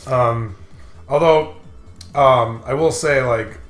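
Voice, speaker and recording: A man's voice: mid-range voice, slow. A man co-hosting a game show in casual, profane chat, explaining things at length in a rambling way. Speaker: co-host of a gaming playthrough show. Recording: roomy echo with music behind.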